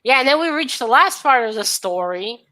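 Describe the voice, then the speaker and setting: Bright, higher-pitched woman discussing a story. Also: lively intonation, normal speed. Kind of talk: casual book discussion.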